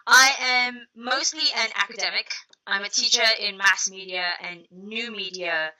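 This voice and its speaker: A young adult woman from South Africa, a media academic, chatting as a guest and telling stories in a lively way. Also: mid-range voice, normal speed, low-quality recording. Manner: lively storytelling, laughs easily. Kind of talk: casual interview chat.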